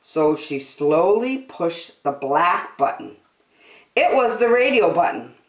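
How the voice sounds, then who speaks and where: A woman hosting story time, reading a children's book aloud in an expressive, deliberate, sing-song way. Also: fairly low pitch. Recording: echoey room.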